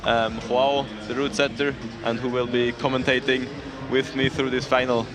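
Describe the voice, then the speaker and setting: A male event commentator speaks casually and informally, with non-native English phrasing. His pitch is medium and fairly even. Music plays clearly behind him. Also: moderate pace, low-quality recording. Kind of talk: casual live sports commentary.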